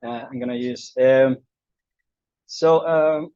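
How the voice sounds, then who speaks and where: A man's voice: medium pitch, fairly even intonation, slow pace, warm tone, smooth, no room sound, lo-fi sound. A middle-aged man from southern Spain with a Spanish accent, giving a conference talk with many fillers and restarts. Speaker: invited speaker. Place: lecture room.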